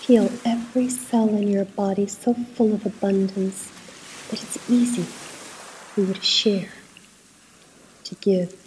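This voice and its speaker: A woman leading a guided meditation in a soft, at times breathy voice, soothing and unhurried, with pauses between short instructions. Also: mid-range voice, fairly even intonation, a little hiss or hum, low-quality recording.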